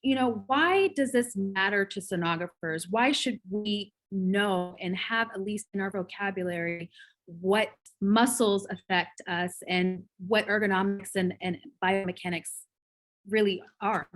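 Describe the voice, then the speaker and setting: A woman's voice: mid-range voice, unhurried. A young woman hosting an interview over a video call, chatty and affirming, with many fillers. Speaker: host, cardiac sonographer. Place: home room, indoors.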